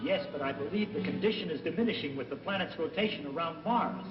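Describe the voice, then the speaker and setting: Formal space scientist actor, echoey old film. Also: male voice, on the high side, quick pace, recorded from a distance, natural voice. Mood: calm, assured. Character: thoughtful, learned male space scientist. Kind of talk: dubbed 1960s science fiction film acting. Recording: echoey, muffled old film soundtrack with music.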